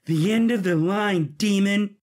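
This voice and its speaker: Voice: medium pitch, unhurried, a little mellow, pitched above the performer's own voice, close to an everyday voice. A man voicing a tough, determined young woman rebel leader in an audio drama, terse and resolute. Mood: defiant.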